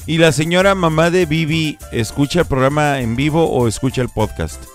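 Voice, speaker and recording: A man's voice: fairly high pitch, normal speed, faint music behind. A Mexican man hosting a radio show, chatting casually and playfully with his cousin, laughing often. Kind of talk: casual joking chat.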